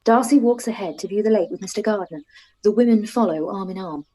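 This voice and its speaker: Mellow, husky woman narrator. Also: mid-range voice, a little fast, rough recording. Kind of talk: reading stage directions in a radio play.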